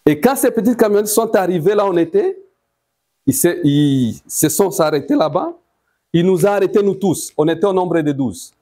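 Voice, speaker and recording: A man with a Congolese accent giving eyewitness testimony, telling it deliberately in short phrases. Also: high voice, expressive, warm tone. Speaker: testifying massacre survivor.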